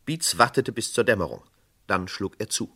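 A man narrating a vintage radio crime drama in a measured, matter-of-fact way. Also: on the low side, expressive, fairly bright tone, slightly rough.

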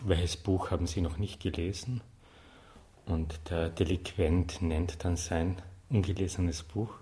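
A man speaks in a deep, low register with an Austrian accent. He answers as an interview guest, conversational and playfully deadpan, with a wry turn to his phrasing. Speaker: interview guest, artist and self-appointed librarian.

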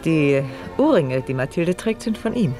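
A woman's voice: low voice, very animated, normal speed, mellow, faint music behind. Haughty noblewoman in a period radio drama. Curt, commanding, cold, vengeful. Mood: cold, stern. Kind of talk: 1970s German radio play acting.

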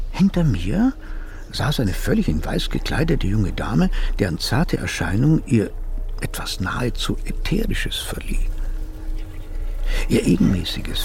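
A man's voice: mid-range voice, gravelly, slight background noise. A man playing a pompous, smitten psychotherapist of a bygone era in a German radio play, speaking in flowery, pedantic, formal phrases. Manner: flowery, pedantic, old-fashioned wording. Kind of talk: German radio play acting, narrating and in dialogue.

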